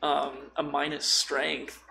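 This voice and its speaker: A man's voice: fairly high pitch, completely flat delivery, takes it slowly, gravelly, crowd noise, poor audio. A man chatting casually on a podcast about tabletop role-playing, informal and rambling, swearing freely. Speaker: podcast co-speaker.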